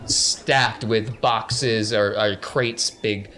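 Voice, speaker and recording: A man's voice: lowish voice, steady tone, drawn-out words, pushed and bright, a little hiss or hum. A man narrating a tabletop game as game master, casual, wry and descriptive.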